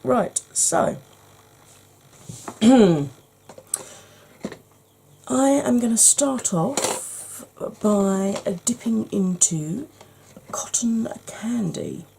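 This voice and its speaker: A woman, hoarse from hay fever, chatting through a makeup tutorial step by step and speaking straight to her viewers, with frequent ums. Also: on the high side, animated, drawn-out words, pauses often, dark tone, a little hiss or hum.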